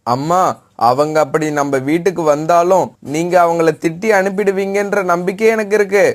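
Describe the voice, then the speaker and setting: A man's voice: sits high, steady tone, moderate pace, lightly acted. A man voicing a sly, cheeky, boastful young male bird in a children's cartoon. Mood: boastful, excited.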